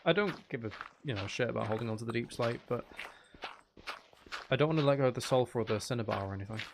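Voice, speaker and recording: Gravelly man, casual gaming commentary. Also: mid-range voice, fast, background noise. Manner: rambling, thinking aloud, mild swearing. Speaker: gaming video creator playing Minecraft.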